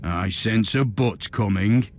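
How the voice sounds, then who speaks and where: An actor playing a formal, authoritative and calculating inquisitor in a grim sci-fi video game. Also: male voice, lowish voice, slow, faint music behind. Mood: defiant, negotiating. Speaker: game character. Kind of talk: dramatic video game cutscene acting.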